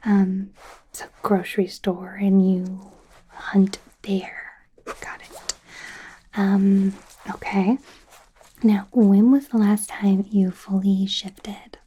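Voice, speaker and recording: Woman acting as young female werewolf doctor, breathy ASMR whisper, mellow, awkward, friendly, hesitant rambling, reassuring. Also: medium pitch. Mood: casual, explaining. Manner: hesitant, casual, filler-heavy rambling. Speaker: ASMR roleplay creator playing a doctor. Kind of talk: whispered ASMR medical roleplay.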